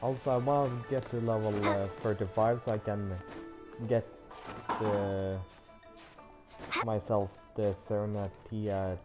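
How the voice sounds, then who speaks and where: A man's voice: fairly low pitch, little pitch movement, a little slow, long pauses. A man giving casual livestream commentary while he plays a game. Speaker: game streamer. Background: video game music.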